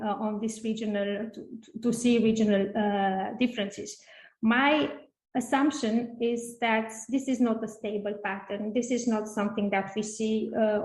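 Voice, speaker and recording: A woman academic giving an unscripted seminar answer, halting and repeating phrases as she reasons. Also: on the high side, darkish tone. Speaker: academic speaker. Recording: echoey room.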